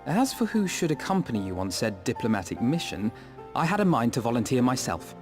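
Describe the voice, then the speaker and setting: A man playing an earnest, eloquent young diplomat in a fantasy video game, speaking formally and with measured delivery. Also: mid-range voice, big pitch swings, music in the background, close to an everyday voice. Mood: composed, earnest. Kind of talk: scripted fantasy game dialogue.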